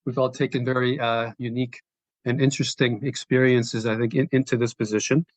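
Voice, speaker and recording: A middle-aged man with an American accent, giving a measured, reflective, conversational account as an interview guest. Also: medium pitch, flat delivery, no echo. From United States, born in Slovenia. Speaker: interview guest, sports sustainability executive.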